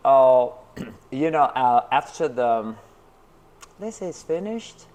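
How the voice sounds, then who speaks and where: A man's voice: mid-range voice, expressive, slow pace, darkish tone. A man from Brooklyn, New York, answering interview questions in a casual, hesitant way, with many fillers and restarts. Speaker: interview guest, artist and teacher.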